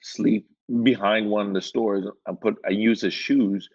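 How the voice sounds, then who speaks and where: A man from Iran, a guest in a casual interview, telling his story in a relaxed, rambling way with lots of filler words. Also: on the low side, a little slow. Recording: dull lo-fi sound.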